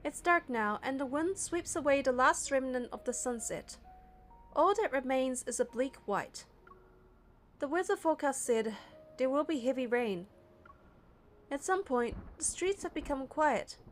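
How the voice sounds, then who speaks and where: A woman's voice: sits high, lively intonation, measured pace, a little hiss or hum. A woman reading scripted first-person story narration for a game playthrough.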